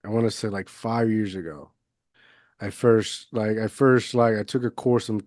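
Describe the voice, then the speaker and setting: Podcast host, man in his 30s from Puerto Rico; low, dry, rambling delivery. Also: fairly even intonation, normal speed, darkish tone, dry recording. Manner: rambling, lots of fillers and restarts. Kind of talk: casual conversational interview. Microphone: budget USB mic, video call.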